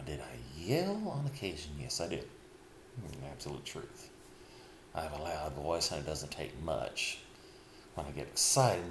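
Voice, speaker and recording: A middle-aged man speaking a slow, heavy personal confession, pausing often and sighing. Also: very deep voice. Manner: slow, heavy, halting with sighs. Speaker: vlogger. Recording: echoey room with clear background noise.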